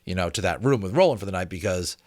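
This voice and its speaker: A man's voice: low voice, animated, fast, a little mellow, no room sound, studio quality. A man narrating a story expressively as a reader, with casual commentary.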